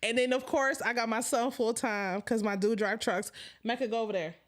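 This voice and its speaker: High-voiced animated woman host with a stutter. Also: moderate pace. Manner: animated, laughs often, stutters. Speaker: live-stream host. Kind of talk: casual live-stream chat.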